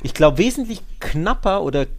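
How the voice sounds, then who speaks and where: A man's voice: high-pitched, very animated, normal speed. A man co-hosting a football podcast, talking casually and colloquially as an opinionated fan. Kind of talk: casual two-person sports podcast chat.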